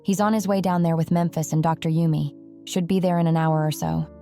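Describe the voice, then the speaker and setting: A woman's voice: low-pitched, steady tone, brisk, warm tone, soft background music. A woman playing a composed, businesslike female commander in an audio drama, terse in manner. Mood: composed, concerned.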